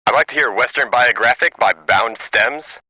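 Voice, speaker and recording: A man's voice: mid-range voice, normal speed, pushed and bright, husky, no echo, rough recording. An actor playing a casual, self-absorbed man calling a radio station, matter-of-fact. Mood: casual self-regard. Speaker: caller.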